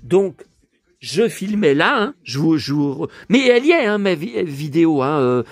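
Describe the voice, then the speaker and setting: Hoarse middle-aged man rambling on. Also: on the high side, very animated, normal speed. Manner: rambling, repeats words, stammers, emotional asides. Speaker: YouTuber. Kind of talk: casual rambling vlog chat. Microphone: phone.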